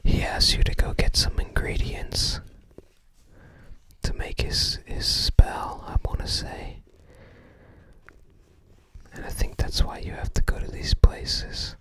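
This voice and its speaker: ASMR creator, whispers throughout, takes it very slowly, casual and musing. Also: a bit bright. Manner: unhurried, musing, tentative recollection. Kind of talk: whispered ASMR, casual game guide commentary.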